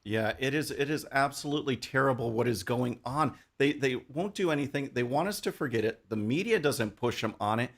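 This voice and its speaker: A man's voice: mid-range voice, lively intonation, fairly quick, few pauses. A man hosting a talk show, asking interview questions in a conversational way and often restarting phrases. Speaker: host.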